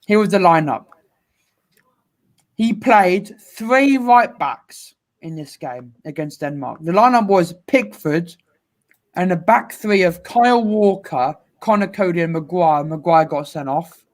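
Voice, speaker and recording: A man's voice: sits high, expressive, unhurried. A young man co-hosts a casual football talk. He lists players and lineups from memory, sure of his picks. His voice is on the light side for a man. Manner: rattles off names and lineups. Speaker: co-host.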